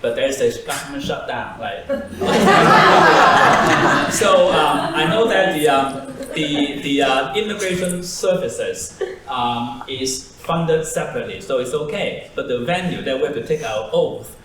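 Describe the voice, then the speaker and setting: A man's voice: fairly high pitch, big pitch swings, slow, hardly any pauses. A man, a Cantonese speaker, talking on a seminar panel in a hesitant, chatty way with many ums and easy laughs. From southern China and Hong Kong. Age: 50s. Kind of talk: conversational panel talk. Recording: echoey hall, distant mic, faint noise.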